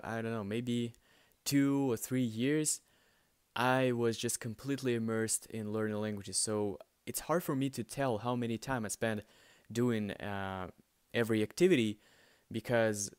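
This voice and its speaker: A man's voice: mid-range voice, clear, resonant tone, dry recording, clean studio sound. Hesitant man with a light Russian accent. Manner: hesitant, many fillers and restarts. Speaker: language learner answering viewer questions. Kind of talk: casual spoken Q&A.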